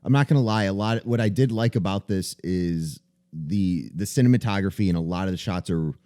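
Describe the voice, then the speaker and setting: A man's voice: low voice, fairly quick, warm tone. A man co-hosting a casual movie podcast, laid-back and opinionated in his reviews. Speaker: podcast co-host.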